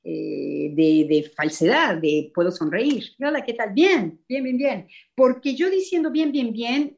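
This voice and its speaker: A woman whose first language is not Spanish, speaking slowly and reflectively with many fillers and pauses. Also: on the high side, a little mellow. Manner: hesitant, many fillers, slow thoughtful pauses. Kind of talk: reflective spoken explanation.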